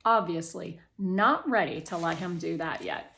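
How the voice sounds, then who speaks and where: A woman narrating a children's novel aloud in the first person, with expressive storytelling delivery. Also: lowish voice, a little slow, gravelly, a little hiss or hum. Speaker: children's book read-aloud reader.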